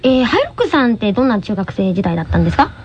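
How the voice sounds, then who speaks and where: A woman's voice: medium pitch, sing-song, rapid-fire, slightly rough, muffled recording. A woman co-hosting a casual radio show with playful, self-deprecating banter.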